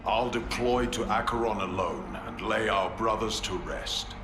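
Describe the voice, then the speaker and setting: An actor playing an honorable, stern space marine commander who speaks formally and gravely. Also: male voice, on the low side, unhurried, close to an everyday voice. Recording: echoey game audio with music.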